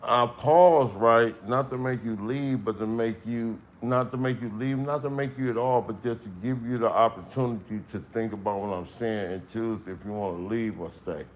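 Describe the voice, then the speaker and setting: A middle-aged man with a strong, carrying voice, speaking slowly and emphatically in a casual livestream monologue with long pauses. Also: medium pitch, fairly even intonation. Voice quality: voice that carries, strong tone. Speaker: livestream host.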